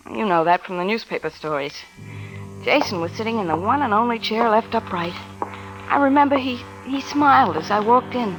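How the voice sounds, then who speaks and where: A woman's voice: on the high side, fairly bright tone, low-quality recording. An actress playing a frightened but tough-talking young woman in a vintage radio mystery, slangy, sardonic and emotive. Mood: subdued. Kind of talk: 1940s radio acting, first-person narration and dialogue. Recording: vintage radio drama with music behind.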